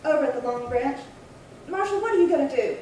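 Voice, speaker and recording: A woman's voice: high-pitched, expressive, quick pace, mellow. A woman playing an anxious but defiant frontier wife, urgent and plainspoken, in old-time radio drama style. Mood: anxious, pleading. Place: little theatre stage, distant mic. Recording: very echoey hall, far from mic, faint noise.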